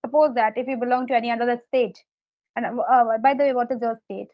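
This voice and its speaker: A woman with an Indian English accent, Hindi her first language, interviewing as an English teacher and pausing often with fillers. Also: fairly high pitch, fairly even intonation, highs cut off. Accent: Indian English, Hindi speaker. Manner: hesitant, many filler sounds, repeats points. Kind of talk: casual conversational interview.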